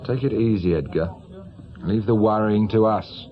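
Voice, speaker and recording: An actor playing a brisk, self-assured senior British police officer in middle age, matter-of-fact and rather patronising. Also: male voice, fairly low pitch, muffled recording, natural voice. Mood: patronising, confident. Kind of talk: naturalistic radio drama acting.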